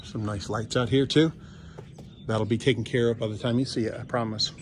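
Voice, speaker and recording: A man's voice: medium pitch, steady tone, brisk, slight background noise. Folksy man touring a house. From Kentucky. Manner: chatty, folksy, salesy asides with restarts. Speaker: real estate agent touring a house. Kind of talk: casual walkthrough commentary. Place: empty house, indoors with some steps outside.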